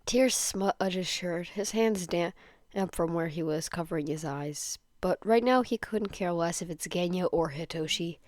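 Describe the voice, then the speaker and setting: A woman's voice: lowish voice, unhurried, a little mellow, no echo. A woman reading fan fiction aloud as narration, sometimes stumbling over words and rereading them. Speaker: fan fiction podfic reader. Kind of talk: scripted story narration, read aloud.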